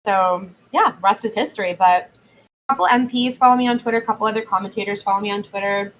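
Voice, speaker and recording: Young woman, mid-pitched voice, joking podcast co-host, faint noise behind. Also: fairly bright tone, poor audio. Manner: joking, quick interjections. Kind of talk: casual chat.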